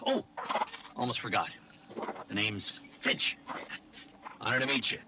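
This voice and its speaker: An actor playing a nervy, shifty robot turncoat in a video game, stammering and evasive in slangy talk. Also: male voice, mid-range voice, unhurried, background noise, lightly acted. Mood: evasive, confiding. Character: nervy, shifty, fast-talking turncoat robot companion, male.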